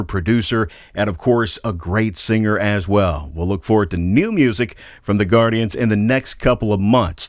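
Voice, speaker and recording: A man's voice: lowish voice, no room sound, clean studio sound. Man hosting a gospel news update. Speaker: news show host. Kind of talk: scripted news narration.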